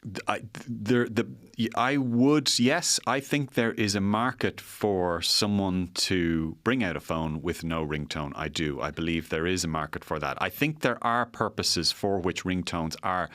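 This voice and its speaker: Low-voiced, emphatic middle-aged Irish man. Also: measured pace, a bit bright, no echo, studio quality. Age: middle-aged, forties or fifties. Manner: emphatic, exasperated, repeats points for effect. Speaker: podcast host. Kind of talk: opinionated podcast discussion.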